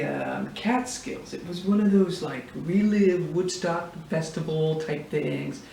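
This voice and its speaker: Man acting crude rambling guy, high voice, sweary, incredulous, casual comic anecdote, echoey room. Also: creaky, a little mellow. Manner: rambling, sweary, incredulous, full of filler words. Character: crude, slacker-ish guy spinning a wild camping yarn. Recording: echoey room, distant mic, faint hiss.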